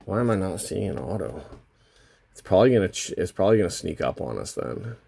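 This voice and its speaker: A man with a voice worn hoarse by a cold, hosting a casual, unscripted card box opening and rambling as he thinks aloud. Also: low-pitched, sing-song, fast, mellow. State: losing his voice from a lingering cold. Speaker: host of a trading card box-break channel.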